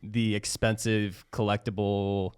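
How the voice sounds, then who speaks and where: Lower-voiced young podcast host, chatty. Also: male voice, flat delivery, smooth, dry recording, studio quality. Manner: chatty, quick laughs, acts out quotes. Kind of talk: casual chat. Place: studio.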